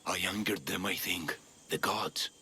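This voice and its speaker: An actor playing an anxious, downtrodden worshipper in a video game, plaintive. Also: male voice, low-pitched, unhurried, sharp tone, slight background noise, exaggerated voice. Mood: dejected. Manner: plaintive, worried. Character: anxious, downtrodden poor male Greek worshipper. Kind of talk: video game cutscene acting.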